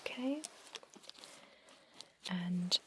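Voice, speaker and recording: A woman whispers slowly and breathily, close up, in an ASMR roleplay as a calm vampire doctor. She guides the patient step by step in a gentle, reassuring clinical manner. There is a faint layer of noise behind her voice. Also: fairly low pitch. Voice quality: breathy, mostly unvoiced whisper.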